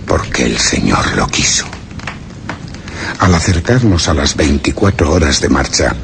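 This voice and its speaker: Very deep, gravelly, grave expedition survivor. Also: male voice, heavily acted. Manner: measured, formal, ominous. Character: grave male expedition survivor recounting a dreadful journey. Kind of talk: solemn literary horror radio drama narration. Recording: very noisy radio drama recording.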